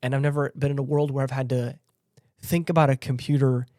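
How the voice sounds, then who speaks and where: Male podcast co-host, mid-pitched, dry close sound; argues emphatically in halting, repetitive bursts. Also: little pitch movement, dark tone, dry recording. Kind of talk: casual opinionated discussion.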